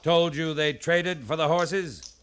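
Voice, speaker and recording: An actor playing a stern, shrewd US cavalry captain with a commanding, measured manner, in a 1950s radio western. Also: male voice, a little high, a bit bright, clear, resonant tone. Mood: scornful, pressing. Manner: commanding, measured, pointed questioning.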